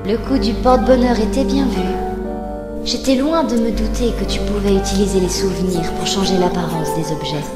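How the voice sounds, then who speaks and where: A woman's voice: medium pitch, normal speed, lightly acted. A woman playing a sadistic young villainess in a fan dub, sly, taunting and mock-sweet in her condescension. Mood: sly, teasing. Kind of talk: dramatic fan dub acting. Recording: roomy home dub under loud music.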